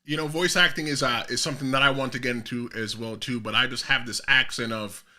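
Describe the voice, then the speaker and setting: A man with a Jamaican American and New York accent hosting a casual interview, energetic and teasing, pushing his guest to speak up. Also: medium pitch, quick pace. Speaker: podcast host.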